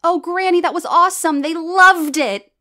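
A woman as an upbeat, bright-voiced comedienne. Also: very high-pitched, moderate pace. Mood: excited. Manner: enthusiastic, sincere. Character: upbeat, kindly woman comedian, supportive of rivals. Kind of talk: audio drama acting.

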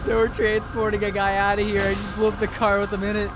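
Male game streamer, high voice, amused and incredulous, casual profane commentary over music. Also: completely flat delivery, fast talker. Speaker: streamer playing a monster in an online roleplay game. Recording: music behind the voice. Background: game music and vehicle sounds.

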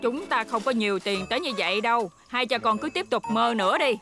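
A woman's voice: fairly high pitch, expressive, brisk. A woman voicing a firm, thrifty mother of young children in a cartoon dub. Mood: scolding. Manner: scolding the family, doting on the youngest. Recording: music behind the voice.

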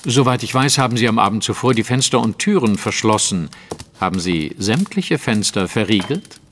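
An actor playing a courteous, self-assured detective with a formal, probing way of speaking, in a German audio drama. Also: male voice, on the low side, animated, normal speed, big-room sound, slight background noise, close to an everyday voice.

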